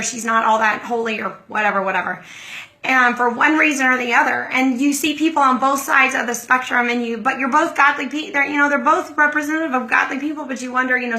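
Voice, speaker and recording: A hoarse middle-aged woman hosting a casual livestream, chatting and greeting viewers by name. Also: fairly high pitch, bright, forceful tone, poor audio. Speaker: livestream host.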